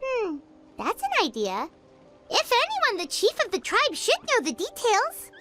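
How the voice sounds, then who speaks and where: A woman's voice: wildly swooping pitch, unhurried, heavily acted. A woman voicing a small, chirpy, childlike fairy girl companion in an anime video game, chatty and eager. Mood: curious, upbeat. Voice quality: squeaky, childlike. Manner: refers to herself in third person, exclaims brightly. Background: game music.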